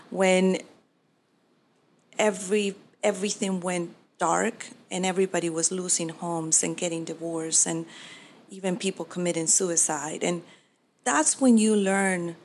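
Earnest woman. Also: lowish voice. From Peru. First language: Spanish. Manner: earnest, reflective, with restarts. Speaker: mortgage professional. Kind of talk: interview answers.

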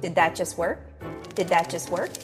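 A woman playing a pleasant, insistent pitchwoman in an improvised spoof radio commercial. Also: steady tone, normal speed, music in the background, close to an everyday voice. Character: nice, insistent commercial pitchwoman repeating questions.

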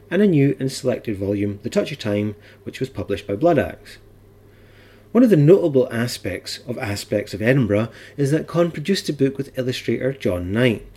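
A man with a husky voice, presenting and interviewing as a podcast host. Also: medium pitch, mellow. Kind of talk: scripted podcast introduction and interview.

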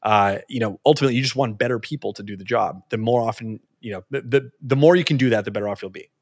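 A man explaining his view at a rapid clip, mid-pitched and earnest. He often restarts his sentences while thinking aloud. The sound is close and dry, with no room echo. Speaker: interview guest. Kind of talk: conversational interview answer.

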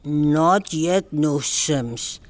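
An older woman playing a wise grandmother elder who speaks slowly and gently, telling teachings with frequent "you know". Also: low voice. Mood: patient. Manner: slow, gentle storytelling, many "you know". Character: wise Cree grandmother elder, calm and patient.

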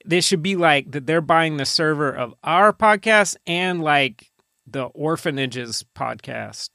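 Man, somewhat high voice, dry close sound, casual comedy podcast host, riffing on absurd ideas. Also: expressive, unhurried, gravelly, bright, forceful tone, no echo.

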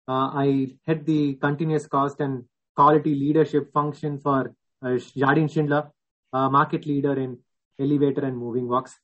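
Male podcast co-host, flat, steady delivery, muffled lo-fi line. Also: mid-range voice, normal speed. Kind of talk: casual self-introduction. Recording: dull, lo-fi remote audio.

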